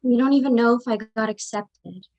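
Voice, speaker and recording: A woman's voice: on the high side, fairly quick, darkish tone, natural voice. A woman playing a sullen, sarcastic seventeen-year-old daughter in a staged reading of a drama, dry and grudging.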